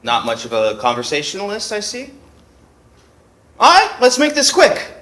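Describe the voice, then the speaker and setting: A man's voice: sits high, huge pitch swings, moderate pace, pushed and bright, full character voice. An actor playing a cocky, tired young male fencer who talks in a teasing, flippant way, on a theater stage. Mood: probing. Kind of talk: stage play acting.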